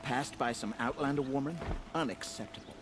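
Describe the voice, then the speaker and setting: A man's voice: medium pitch, fairly quick, close to an everyday voice. Casting: male actor as indignant aristocrat, medium voice, snobbish grumbler, video game background role. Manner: snobbish, complaining. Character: haughty, indignant male noble petitioner. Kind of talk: video game cutscene acting. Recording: music under the dialogue. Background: music.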